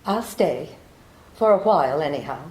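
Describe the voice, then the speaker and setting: A woman's voice: low voice, animated, moderate pace, a little mellow. A woman playing a beguiling, deceitful young woman in trouble in a 1940s-style radio play, coy and pleading. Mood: evasive. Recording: echoey room.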